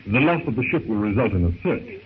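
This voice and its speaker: Level-headed gentleman, tinny old radio. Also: lowish voice, big pitch swings, slight background noise. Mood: sober, reassuring. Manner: measured, matter-of-fact. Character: level-headed, practical younger gentleman adventurer. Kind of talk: 1930s radio drama acting.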